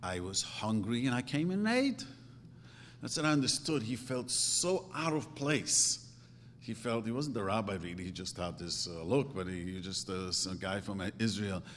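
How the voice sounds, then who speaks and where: Man preaching, very lively pitch, emphatic, long hall reverb. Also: normal speed, a little hiss or hum. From grew up speaking Yiddish and Hungarian. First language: Yiddish. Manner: emphatic, swings from low asides to loud outbursts. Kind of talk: sermon.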